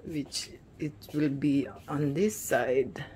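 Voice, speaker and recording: A woman giving a casual, halting tour of her newly finished basement, filming on a phone indoors. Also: low-pitched, steady tone, warm tone, a little hiss or hum. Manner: hesitant, many restarts and fillers. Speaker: home vlogger. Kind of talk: casual home tour narration.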